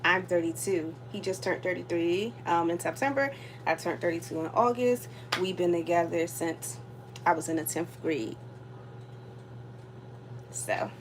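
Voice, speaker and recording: A woman's voice: on the low side, low-quality recording. A woman in her thirties chatting casually to her viewers while she cooks at home, rambling with lots of fillers and sounding worn out. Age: 30s. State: tired. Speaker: vlogger. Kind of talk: casual chat while cooking. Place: home kitchen. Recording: sizzling cooking noise behind. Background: food sizzling in a pan.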